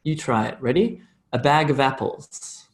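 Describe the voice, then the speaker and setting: A man with an Australian accent teaching English pronunciation on a live online lesson, drilling phrases for the learner to repeat and slowing them down. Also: medium pitch.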